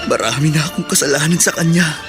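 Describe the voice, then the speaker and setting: A man's voice: on the high side, fast, over loud music, close to an everyday voice. An actor playing an eager, devoted young man freed from prison, with a Filipino accent and an earnest, pleading radio drama delivery. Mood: remorseful, determined.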